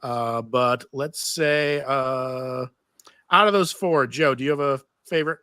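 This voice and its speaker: A man's voice: mid-range voice, a little slow, sharp tone, clean tone, no room sound, studio quality. A man on a casual panel, organizing a table read and reading stage directions aloud as narrator.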